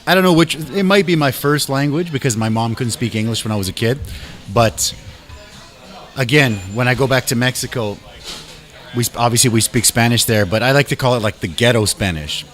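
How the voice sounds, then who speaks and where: A man who grew up in Canada, hosting a relaxed live chat show from a bar, talking animatedly, swearing casually and agreeing quickly. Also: mid-range voice, soft background music. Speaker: host.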